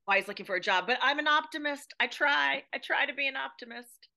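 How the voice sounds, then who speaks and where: A woman from the United States, an expressive podcast guest discussing drama conversationally and with emphasis. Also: sits high, fast talker, gravelly.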